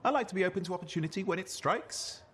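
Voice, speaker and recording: A man's voice: high-pitched, expressive, fast talker, a bit bright, a little hiss or hum. A man acting a chatty, restless young sidekick in a video game, with jokey, boastful banter that hides some insecurity. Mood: playful.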